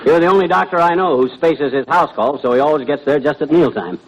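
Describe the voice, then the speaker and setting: An actor playing a lazy, wisecracking middle-aged American husband in old radio comedy, with a folksy, grumbling, slangy way of talking. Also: male voice, a little high, fast talker, highs cut off, close to an everyday voice. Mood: teasing, needling. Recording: old radio recording, studio audience, faint noise.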